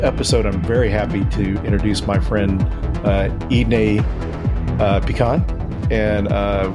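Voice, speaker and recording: Male interview host, fairly low voice, conversational delivery, faint music behind. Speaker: podcast host.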